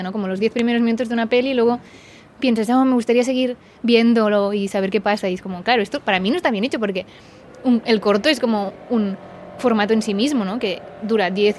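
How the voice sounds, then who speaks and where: A woman's voice: medium pitch, a little mellow. Chatty woman, faint background hum. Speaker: podcast guest. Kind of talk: casual chat.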